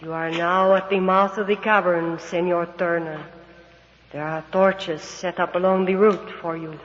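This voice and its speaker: A woman's voice: on the low side, steady tone, lightly acted. A woman playing a mysterious, solemn unseen guide who gives insistent commands in a 1940s radio adventure, echoing and muffled. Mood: guiding. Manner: slow, repeated, solemn commands. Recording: muffled old radio recording, long hall echo.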